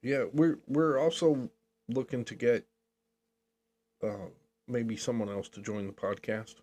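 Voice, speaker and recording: A man's voice: mid-range voice, fairly even intonation, unhurried, warm tone. An older man co-hosting a podcast, chatting casually in a rambling, jokey way and laughing often. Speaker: podcast co-host. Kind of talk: casual chat.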